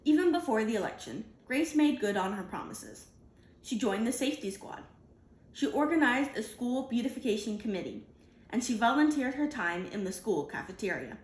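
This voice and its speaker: Animated woman reading a storybook, roomy sound. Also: fairly high pitch, moderate pace. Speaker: storybook reader. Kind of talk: expressive children's picture book read-aloud.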